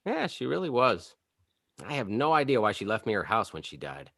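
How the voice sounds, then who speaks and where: Man playing a wisecracking suburban dad with animated pitch. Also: fairly quick, creaky, exaggerated voice. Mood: wry. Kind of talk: comedy table read acting.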